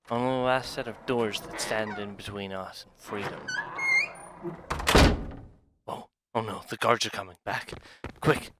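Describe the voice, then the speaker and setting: A man's voice: on the low side, heavy background noise, natural voice. A man playing an eager, obsessive young thief in an ASMR roleplay, speaking in an urgent, stammering, clingy way. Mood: hushed, conspiratorial.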